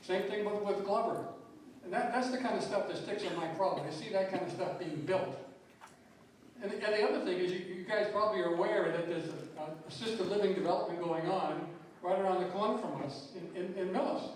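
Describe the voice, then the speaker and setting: A man's voice: sits high, fairly quick. Older man, echoey distant hall. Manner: blunt, frustrated, repeats words. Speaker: resident speaking at public meeting. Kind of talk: public comment at town meeting. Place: town meeting hall. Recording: very echoey hall, distant mic, faint noise.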